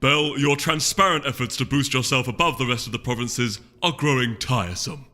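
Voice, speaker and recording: A man's voice: medium pitch, a little fast, sharp tone, soft background music, close to an everyday voice. A man voicing a haughty, disdainful unicorn duke in a storybook reading, with a pompous, speechifying manner. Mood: bored, irritated. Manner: pompous, oratorical.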